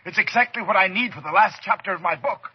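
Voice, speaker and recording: A man playing an obsessive, excitable ghost-hunting doctor in a radio horror drama, speaking in a theatrical, halting, fervent way. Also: high voice, husky, exaggerated voice. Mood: fervent excitement. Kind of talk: old time radio horror acting. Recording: dull, hissy old radio recording.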